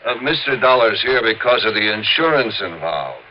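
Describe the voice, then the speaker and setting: An actor playing a plain-spoken, weary police sergeant in a 1950s radio crime drama, colloquial and matter-of-fact. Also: male voice, lowish voice, sing-song, moderate pace. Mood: polite. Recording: muffled old radio recording, light hiss.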